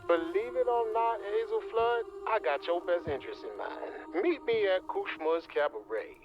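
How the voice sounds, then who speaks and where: A man's voice: high-pitched, lively intonation, normal speed, dull audio, soft background music. An actor playing a sly, theatrical male villain who wheedles and makes deals, with a Southern US accent, in a video game. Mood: sly, coaxing. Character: sly male showman villain, smooth-talking deal maker.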